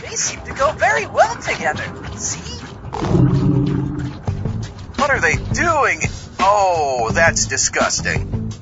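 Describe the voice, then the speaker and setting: A man voicing an excitable, silly zombie astronaut in a comic audio drama, whiny and scatterbrained. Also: high-pitched, slow, pauses often, lo-fi sound, over music, exaggerated voice. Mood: bewildered.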